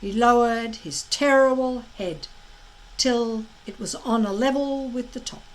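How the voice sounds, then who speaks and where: A woman reading a children's story aloud as expressive narration, with dramatic emphasis and deliberate phrasing. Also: fairly high pitch, a little hiss or hum, poor audio.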